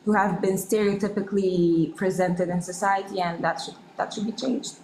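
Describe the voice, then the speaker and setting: A woman's voice: medium pitch, fairly even intonation, moderate pace, darkish tone. A woman presenting at a symposium, answering questions with hesitant, repeated words. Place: university symposium room. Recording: echoey room with faint noise.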